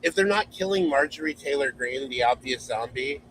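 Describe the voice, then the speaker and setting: A man chats casually, teasing and joking with his friends. His voice is mid-pitched, and faint noise sits behind it. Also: moderate pace, fairly bright tone, low-quality recording. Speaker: podcast co-host. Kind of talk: casual chat.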